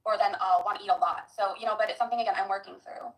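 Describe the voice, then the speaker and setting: A woman's voice: mid-range voice, steady tone, low-quality recording. A woman in her thirties talking casually and personally, her speech packed with "like" and "you know.". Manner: rapid, filler-heavy. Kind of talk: casual confessional talk.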